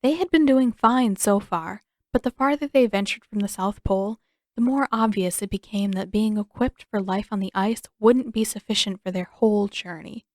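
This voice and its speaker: A woman reading a fan fiction story aloud in a steady, even storytelling manner. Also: medium pitch, normal speed, no echo, clean studio sound. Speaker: podfic reader.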